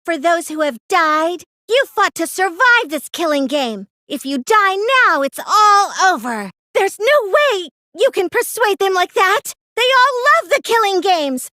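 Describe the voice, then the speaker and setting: Very high, girlish smug mastermind. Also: big pitch swings, a little slow, hardly any pauses, pushed and bright, dry recording, clean studio sound, full character voice. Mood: dismissive, grim. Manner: theatrical, taunting, insistent. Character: girlish, smug mastermind, cheerfully menacing and obsessed with fiction. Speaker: video game voice actor. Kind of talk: scripted anime-style English game dub acting.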